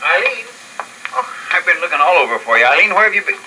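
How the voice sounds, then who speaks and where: An actor playing a folksy, easygoing drifter who talks plainly and adopts a daughter, in vintage radio drama style. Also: male voice, sits high, very animated, fast talker, noisy background. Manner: drawling folksy talk, plainspoken.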